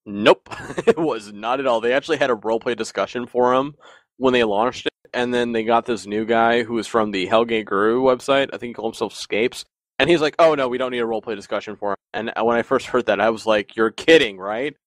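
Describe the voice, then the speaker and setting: A man's voice: mid-range voice, a little fast, dry recording. A man in casual podcast chat, telling long rambling stories with frequent laughs. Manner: rambling, animated storyteller who often laughs.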